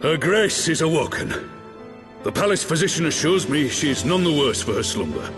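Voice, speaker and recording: An actor playing a grave, devoted guardian of a sovereign in a fantasy video game, solemn, courtly and deliberate. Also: male voice, on the high side, very animated. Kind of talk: fantasy video game acting, archaic speech. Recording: clear music behind the voice.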